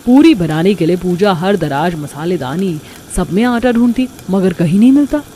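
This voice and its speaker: A woman's voice: fairly low pitch, lively intonation, moderate pace, warm tone, a little hiss or hum. A woman reading scripted narration as the storyteller of a cartoon tale.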